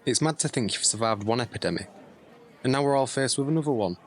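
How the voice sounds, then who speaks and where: An actor playing a curious, concerned young nephew in an audio drama, talking in an inquisitive, sympathetic way. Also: male voice, mid-range voice, expressive, fast, some chatter behind, natural voice. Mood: appreciative. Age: young adult. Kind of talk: scripted audio drama dialogue.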